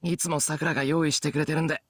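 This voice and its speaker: A woman's voice: deep voice, little pitch movement, racing, breathy edge, no room sound, clean studio sound. A woman voicing an earnest, easygoing teenage schoolboy in a visual novel game, plain and matter-of-fact. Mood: sheepish.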